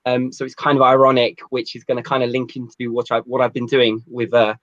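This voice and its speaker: A man's voice: mid-range voice, completely flat delivery, brisk, fairly bright tone, dry recording, highs cut off. A man from London, a guest on a video call, talking casually with many hesitations. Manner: hesitant, lots of ums and kind ofs.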